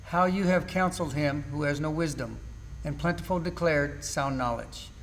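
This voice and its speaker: A man acting as a suffering, steadfast man in an amateur Bible drama. The voice sits fairly high for a man and speaks in solemn, formal declamation, full of bitterness. Some background noise runs under it. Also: moderate pace, a bit bright, low-quality recording. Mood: bitterly sarcastic. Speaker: church class amateur actor.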